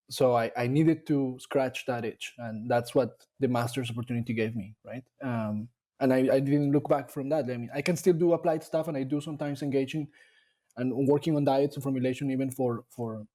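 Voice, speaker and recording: A man from Colombia talks through his path in a relaxed interview. His voice is warm and mellow, at a middle pitch. He pauses often and restarts his sentences as he thinks. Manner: thoughtful, with frequent fillers and restarts. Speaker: dairy nutrition scientist guest. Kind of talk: casual interview conversation.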